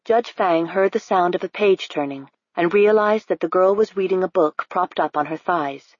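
A woman narrating an audiobook in an even, unhurried storytelling manner. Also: on the low side, dry recording, lo-fi sound. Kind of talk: scripted narration.

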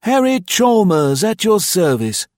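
High-pitched, hearty, fussy guesthouse host. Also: male voice, big pitch swings, a little slow, a little mellow, dry recording, studio quality. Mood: cheerful, welcoming. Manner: jaunty, effusively polite, clipped phrases. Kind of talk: audiobook character voice.

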